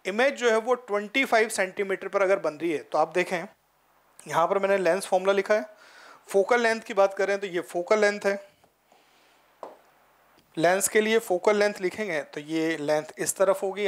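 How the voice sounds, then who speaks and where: A man in his forties, a physics teacher giving a classroom lecture with a headset mic in a studio. He talks in an explanatory way, often tells students to look carefully, and mixes in English terms. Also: high-pitched. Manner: gestures and points at the board while talking. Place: studio in front of a projected board.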